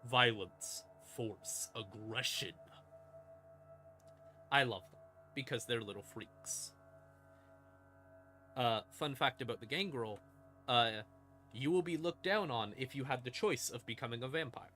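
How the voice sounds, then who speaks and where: A man streams a casual lore explainer in a bright, forceful voice. He takes his time, breaking thoughts into short, theatrical phrases with deliberate pauses. Faint music plays behind him. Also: medium pitch. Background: ambient music in the background.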